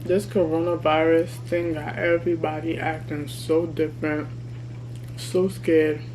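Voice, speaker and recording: A woman in her thirties talking candidly to her vlog camera while she eats, chatty and relaxed. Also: sits low, measured pace, slight background noise, rough recording. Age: 30s. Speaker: vlogger. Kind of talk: casual mukbang vlog chat. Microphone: camera mic.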